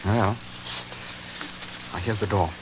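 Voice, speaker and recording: An actor playing an impatient, puzzled English lord, formal and exasperated, in 1940s radio drama style. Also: male voice, fairly low pitch, steady tone, slow pace. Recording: very noisy, crackling muffled radio recording.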